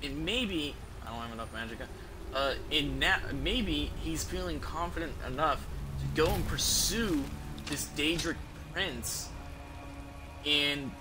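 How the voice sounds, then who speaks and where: A young adult man giving casual live gameplay commentary, halting and rambling, with frequent swearing. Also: fairly high pitch, lively intonation, music in the background, poor audio. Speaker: video game streamer. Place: indoor room at home.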